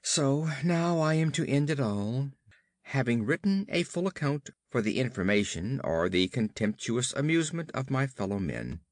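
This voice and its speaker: A man's voice: medium pitch, animated, normal speed, dry recording, studio quality. A man reading a horror story aloud as an audiobook narrator, theatrical and dramatic, drawing out emphatic words.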